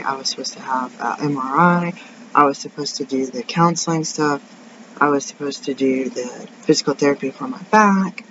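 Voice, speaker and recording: A woman with a raspy, deep voice venting casually in a rambling, tangent-prone vlog. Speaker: vlogger. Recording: dull lo-fi sound with faint noise.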